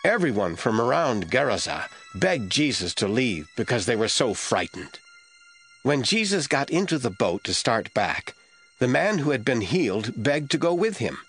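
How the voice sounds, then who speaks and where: A man narrating a Bible audio drama as scripted, expressive storytelling. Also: mid-range voice, normal speed, faint music behind.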